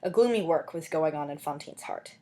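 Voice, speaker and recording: A woman narrating a story as an amateur audiobook reader, in an expressive literary style. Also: low-pitched, fairly quick.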